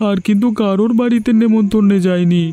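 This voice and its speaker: A man's voice: high voice, fairly even intonation, fast, warm tone, close to an everyday voice. A man voicing a simple, childlike village boy in a cartoon, naive, earnest and devoted to his mother. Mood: worried, protective. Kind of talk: Bengali fairy tale cartoon dubbing.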